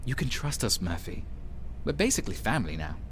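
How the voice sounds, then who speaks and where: An actor playing a loyal, caring male friend in an audio drama, gently teasing and sincere. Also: mid-range voice, sing-song, fairly quick, lightly acted. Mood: sincere, apologetic. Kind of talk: naturalistic audio drama acting. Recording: background ambience and faint noise.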